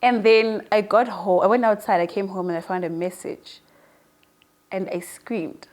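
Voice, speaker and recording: A woman in her early twenties being interviewed. She talks in a casual, chatty style at a middling pitch, like someone telling stories about herself. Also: fairly even intonation, moderate pace, darkish tone. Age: early 20s. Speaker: newly appointed online show presenter being interviewed.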